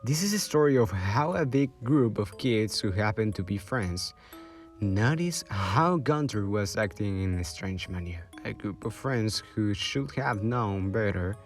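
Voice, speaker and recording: A man narrates a radio drama in a dramatic, scripted style. His voice is fairly low, with faint music beneath it. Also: lively intonation, unhurried.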